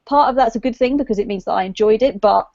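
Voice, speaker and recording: A woman's voice: fairly high pitch, fast, a little mellow, no echo, muffled recording. A woman talking casually in a book discussion, explaining her opinions tentatively and correcting herself as she goes.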